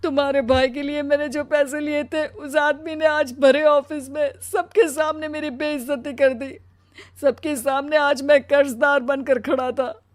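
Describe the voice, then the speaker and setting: Woman acting a weary, high-voiced, overburdened husband. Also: animated, normal speed, close to an everyday voice. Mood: anguished, tearful. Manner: pleading, exasperated. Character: weary, overburdened husband, anguished office worker. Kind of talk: dramatised Hindi audio story.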